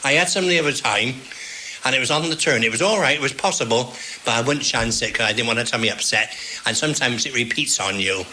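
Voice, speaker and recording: A man chatting homely and rambling as an interviewee, with halting restarts. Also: mid-range voice, bright, forceful tone, slight background noise, poor audio. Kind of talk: casual chat.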